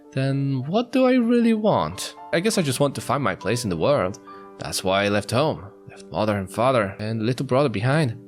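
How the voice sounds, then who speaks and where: A man reading a visual novel aloud in an expressive narrating style. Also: mid-range voice. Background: guitar music.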